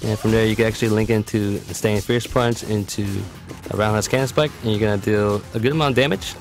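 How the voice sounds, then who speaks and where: A man with a fairly low voice walks through a fighting game character in a casual, matter-of-fact way. He explains like a competitive player giving a guide. Game music plays clearly behind his voice-over. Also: fairly even intonation, fast. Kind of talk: explanatory video game tutorial commentary.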